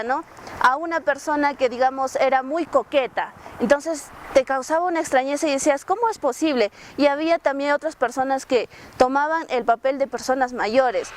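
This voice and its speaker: A woman's voice: high voice, slight background noise. A woman reflecting casually in an interview, often seeking agreement with tag questions. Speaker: radio drama actress.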